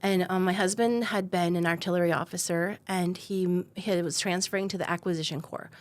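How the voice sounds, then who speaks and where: A woman's voice: fairly low pitch, steady tone, fairly quick. A woman in her forties, a company founder, telling her story in an easygoing way with plenty of ums and laughs. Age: 40s. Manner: easygoing, laughs often, many um fillers. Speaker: guest, defense company founder. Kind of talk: conversational interview storytelling.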